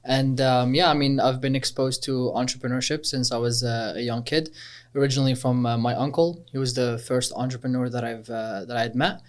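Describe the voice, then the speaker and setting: A man in his twenties, originally from Algeria, talking casually as a startup co-founder in an interview, relaxed and rambling with many fillers. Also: medium pitch, fairly quick. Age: 20s.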